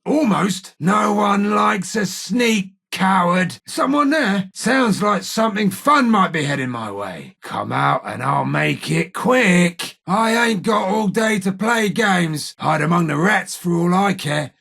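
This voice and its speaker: An actor playing a cocky, menacing street-gang gunman in a video game, with a raspy voice, a working-class English accent and a taunting, slangy manner. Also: high voice, big pitch swings, takes it slowly, few pauses, fairly bright tone, exaggerated voice. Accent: British, working-class English. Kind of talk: video game character voice lines, combat barks.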